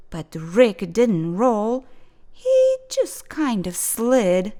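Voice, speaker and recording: A woman reading a rhyming children's picture book aloud for storytime, gentle and expressive. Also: medium pitch. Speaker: storytime reader.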